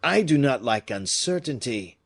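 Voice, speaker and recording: A man reading an audiobook, voicing a proud, agitated French nobleman who gives sharp commands. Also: mid-range voice, big pitch swings, a little slow, creaky, breathy edge, pitched above the performer's own voice, heavily acted. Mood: imperious. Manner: imperious, exclamatory.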